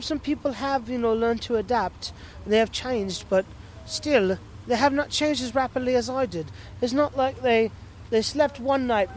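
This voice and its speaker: A man's voice: very high-pitched, a little hiss or hum. A man from the American Midwest speaking with an affected-sounding English accent, telling stories in a rambling, repetitive, playful casual monologue. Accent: put-on sounding English. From Milwaukee, Wisconsin, United States.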